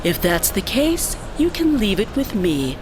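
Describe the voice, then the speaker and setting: Prim, lilting receptionist. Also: female voice, mid-range voice, sing-song, unhurried, noisy background. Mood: politely dismissive. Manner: prim, formal, dismissive. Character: officious, unbudging female corporate receptionist.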